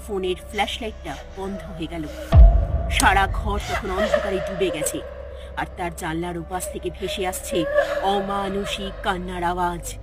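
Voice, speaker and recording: A woman narrating a scripted horror story in a dramatic storytelling style. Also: medium pitch. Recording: music behind the voice.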